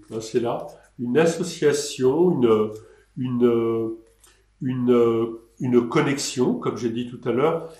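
A man's voice: medium pitch, dark tone. A man in his sixties, an artist answering questions in a live online session, hesitant and rambling with many restarts. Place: indoor room at a desk. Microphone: webcam on a desk. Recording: roomy webcam audio.

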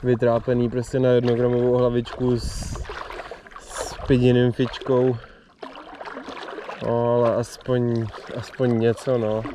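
A man's voice: mid-range voice, completely flat delivery, background noise. Laid-back young man fishing on a lake. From Czech Republic. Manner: laid-back, self-mocking, mutters to himself. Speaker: angler vlogger. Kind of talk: casual running commentary while fishing. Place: outdoors on a lake in a belly boat. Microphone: action camera on the body.

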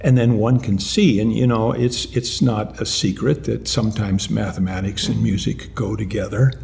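An older man, a retired mathematics professor, answering interview questions in an animated way, often restarting and repeating words. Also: on the low side, normal speed, gravelly, a little hiss or hum. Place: lounge-like room with armchairs and lamps, indoors.

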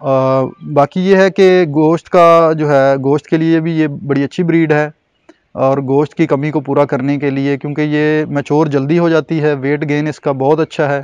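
A man's voice: fairly high pitch, level tone, darkish tone, clean tone, dry recording, lo-fi sound. Man with a Pakistani Urdu accent, dry close sound. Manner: measured and informative, with frequent fillers. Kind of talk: explanatory on-camera interview. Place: outdoors at a covered goat shed.

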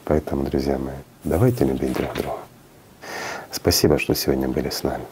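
A man's voice: very deep voice, brisk, a little mellow, slight background noise. A middle-aged man in a studio, speaking earnestly and preaching with rhetorical questions. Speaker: spiritual speaker interviewed in studio. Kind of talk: reflective studio talk.

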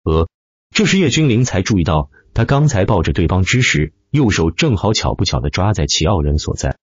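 A man's voice: low-pitched, sing-song, brisk, slightly rough, dry recording, lo-fi sound. A man narrating a fantasy novel in a theatrical, emphatic, scripted style. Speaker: audiobook narrator.

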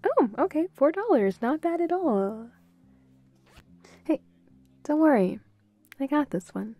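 A woman playing a bubbly, playful, affectionate young girlfriend in an intimate roleplay audio, giggly and given to quick repeated phrases. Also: sits high, dry recording, dull audio, exaggerated voice. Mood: giddy, affectionate. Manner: giggly, breaks into short excited repeats.

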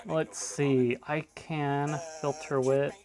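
A man's voice: fairly high pitch, little pitch movement, slow, a little mellow. A man giving a casual, off-the-cuff tech demo, rambling a little and trailing off mid-thought. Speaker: tech video creator demonstrating a device.

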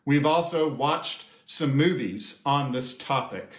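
An American man in his fifties giving a measured, sermon-like pastoral address with deliberate pauses. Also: mid-range voice, a bit bright. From United States. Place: small indoor sitting room or office. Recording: echoey room.

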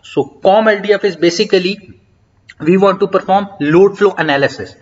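A man giving a step-by-step software tutorial over a screen recording, talking in an explanatory way with frequent hesitant fillers. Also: high-pitched, normal speed. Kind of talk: screen-recorded coding walkthrough. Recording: dull lo-fi mic.

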